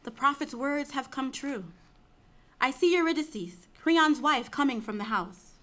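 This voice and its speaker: Woman as solemn, higher-voiced tragic chorus member. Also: a little slow, fairly bright tone. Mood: urgent. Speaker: chorus member in a dramatic reading. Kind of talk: dramatic reading of Greek tragedy.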